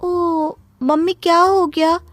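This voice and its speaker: A woman's voice: very high-pitched, flat delivery, takes it slowly, voice pushed up. A woman voicing a spoiled young daughter in a cartoon story, who talks in a careless, demanding way. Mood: bratty. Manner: bratty, demanding.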